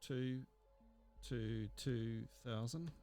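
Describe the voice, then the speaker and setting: A man teaching a software tutorial, explaining step by step in an unhurried way and thinking aloud with fillers. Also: mid-range voice, faint music behind. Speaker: instructor. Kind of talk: screen-recorded software tutorial.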